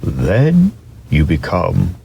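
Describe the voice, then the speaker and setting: A wise, very old toy horse from a storybook, played by a man, speaks in a deep voice. The delivery is slow, gentle and patient, like a kindly elder explaining things. There is faint noise behind it. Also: wildly swooping pitch, vocal fry, exaggerated voice.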